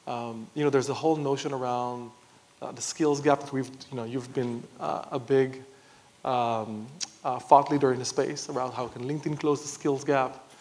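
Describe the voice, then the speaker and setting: A man in his thirties moderating an on-stage conference interview, piecing his questions together haltingly. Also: mid-range voice, steady tone, darkish tone. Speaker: moderator, technology executive. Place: large conference stage, seated in armchair. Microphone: clip-on lapel mic.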